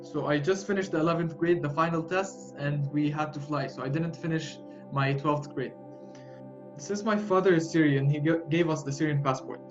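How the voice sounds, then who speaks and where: A young man in his twenties speaks with a Jordanian Arabic accent, his voice set a little high for a man. He tells his story haltingly, with many fillers and restarts. The sound is muffled and lo-fi, with clear music playing underneath. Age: 20s. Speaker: interviewee. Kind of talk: personal storytelling.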